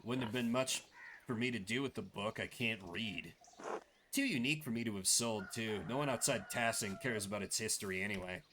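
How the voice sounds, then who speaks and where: A man voicing a surly, defensive villager in a game, grumbling and swearing gruffly. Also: lowish voice, fairly quick, natural voice. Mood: evasive. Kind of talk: voiced game dialogue. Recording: clearly noisy background.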